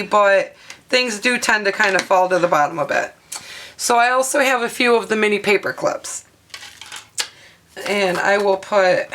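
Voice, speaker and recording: A woman with a hoarse, half-lost voice, chatting through a craft tutorial at her table and showing items with plenty of ums and uhs. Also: medium pitch, sharp tone, slight background noise. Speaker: craft vlogger. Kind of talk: casual show-and-tell craft tutorial.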